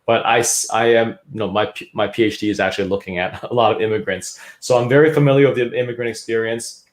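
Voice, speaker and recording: A man's voice: lowish voice, fairly even intonation, quick pace. A man from Canada, a guest expert explaining career change in an interview. He talks things through with restarts and frequent tag questions. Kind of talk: interview talk.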